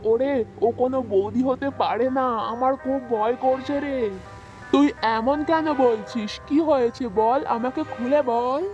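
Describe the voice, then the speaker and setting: Woman voicing an agitated young man, high-pitched. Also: a little slow, dull audio, over music. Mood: worried. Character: anxious, agitated young village man in a ghost tale. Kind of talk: children's cartoon voice acting.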